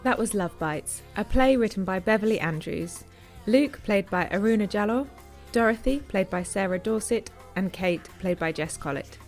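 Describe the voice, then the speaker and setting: A woman's voice: mid-range voice, lively intonation, moderate pace, soft background music. A woman announcer giving a scripted reading of radio play credits.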